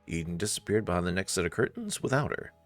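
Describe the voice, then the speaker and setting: Low voiced guy reading a visual novel out loud, super animated, chatty asides, music underneath. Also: fast. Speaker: narrator of a visual novel playthrough. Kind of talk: expressive story narration with casual asides.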